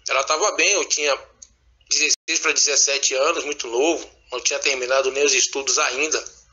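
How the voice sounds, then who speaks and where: A man tells of his past as a pastor in an earnest, reflective way, pausing and restarting as he goes. His voice is husky and slightly congested from a cold, with a very bright, cutting tone that sits a little high. The audio is dull and lo-fi, like a played-back voice message. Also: fairly even intonation. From Salvador, Bahia, Brazil. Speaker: former pastor giving testimony. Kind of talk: recorded spoken testimony addressed to a listener.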